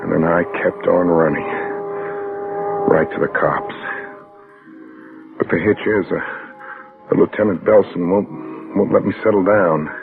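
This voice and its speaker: An actor in a 1960s radio suspense play, playing a desperate, bitter fugitive confessing to a murder. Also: male voice, deep voice, fairly even intonation, measured pace, creaky, pauses often, narrow, tinny audio. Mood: haunted, confessional. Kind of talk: 1960s radio suspense acting with dramatic narration. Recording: old band-limited radio drama, music behind.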